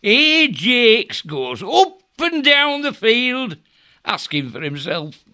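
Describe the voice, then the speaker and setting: A scurrilous, gleeful fool from a Shakespearean radio play. His high, bright voice leaps wildly about as he mocks everyone with theatrical relish, drawing words out slowly. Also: sing-song, exaggerated voice. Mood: gleeful mockery. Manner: theatrical, exaggerated mockery with frequent chuckles. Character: scurrilous mocking Greek camp fool, gleefully sneering. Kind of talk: Shakespearean audio drama acting.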